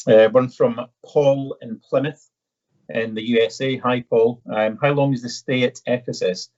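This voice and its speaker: A man's voice: medium pitch, steady tone, measured pace, darkish tone. A man with an Edinburgh Scottish accent presenting a casual live question-and-answer session, polite and conversational with a hesitant delivery. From Edinburgh, Scotland. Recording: dull, slightly muffled audio.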